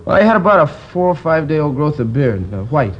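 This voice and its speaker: An actor playing a talkative, indignant liquor store manager recounting a robbery in a 1950s radio crime drama, rambling with hesitations. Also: male voice, on the high side, big pitch swings, brisk, slight background noise. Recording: dull old radio transcription.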